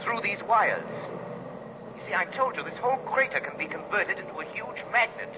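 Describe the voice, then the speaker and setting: An actor playing a commanding, quick-thinking mystery crime-fighter in an articulate, urgent 1930s radio drama style. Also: male voice, high-pitched, lively intonation. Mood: grave, explanatory. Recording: noisy, muffled 1930s radio recording.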